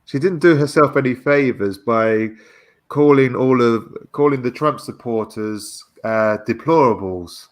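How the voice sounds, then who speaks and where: A man's voice: medium pitch, measured pace. A man chatting casually on a livestream, hesitant and often repeating his phrases as he goes.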